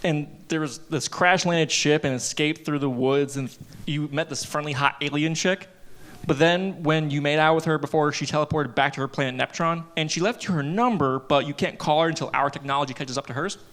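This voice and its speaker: A man's voice: a little high, fairly quick, fairly bright tone, lightly acted. A man reading the part of a dismissive, bossy teenage older brother at a live table read. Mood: smug.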